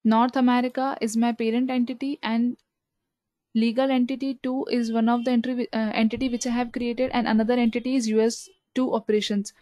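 Female software trainer, fairly high mellow voice, methodical instructional delivery for screen tutorials. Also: little pitch movement, fairly quick, dry recording. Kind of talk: step-by-step screen tutorial narration.

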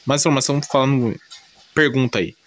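A man's voice: medium pitch, a little fast, a little hiss or hum. A man with a Brazilian accent giving casual, unscripted story commentary in a colloquial, repetitive way, often adding little check-in tags. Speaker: video creator narrating an anime recap.

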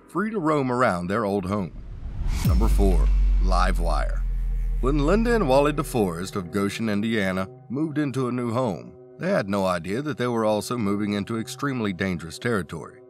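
A man's voice: on the low side, lively intonation, normal speed, faint music behind. A man reading a scripted countdown narration, dropping wry asides between the facts.

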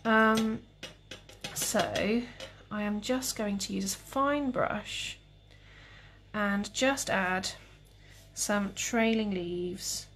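A young woman with a calm, relaxed voice, demonstrating cake painting live and chatting with viewers. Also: medium pitch, very slow, slight background noise. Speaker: cake decorating tutor.

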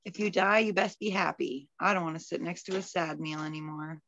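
A woman's voice: lowish voice, fairly quick, a bit bright. A woman, a poet at an open mic, speaking over a video call from home. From Alberta, Canada. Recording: dull, lo-fi video call audio.

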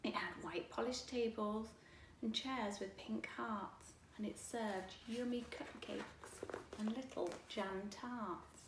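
A woman in her forties reading a rhyming children's storybook aloud with expressive, deliberate phrasing. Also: on the high side. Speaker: storytime reader. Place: living room, indoors. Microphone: camera a few feet away. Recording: echoey room, distant mic, faint noise.

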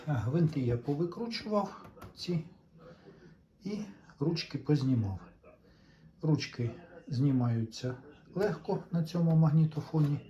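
A man's voice: on the high side, lively intonation, measured pace, pauses often, dark tone, highs cut off. A man giving casual hands-on commentary, chatting to viewers and thinking aloud as he works. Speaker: host of a tape recorder restoration video.

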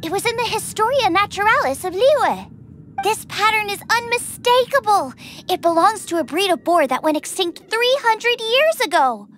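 A woman voicing an excitable, cheerful teenage girl chef in a video game, eager and exclamatory. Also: extremely high pitch, moderate pace, noisy background. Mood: excited. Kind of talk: video game character acting.

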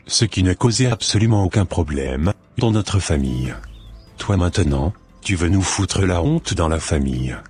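A man voicing a deep, gravelly stern father. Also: expressive, normal speed, darkish tone, faint music behind, heavily acted. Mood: angry, stern. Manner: scolding, authoritarian. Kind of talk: scripted cartoon dialogue.

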